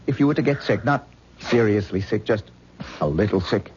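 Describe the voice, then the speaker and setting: An actor playing a high-strung, anxious stage actor in a radio drama, talking in a pleading, nervous way. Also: male voice, normal speed, darkish tone, natural voice. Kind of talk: 1970s radio acting. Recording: dull old radio broadcast, faint hiss.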